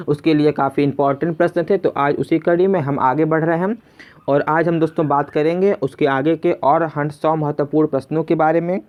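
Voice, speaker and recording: Man teaching, dull lo-fi recording. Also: fairly high pitch. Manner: conversational, repeats each question before answering, addresses viewers as friends. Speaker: quiz teacher and video host. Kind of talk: spoken exam quiz lesson, reading questions and giving answers.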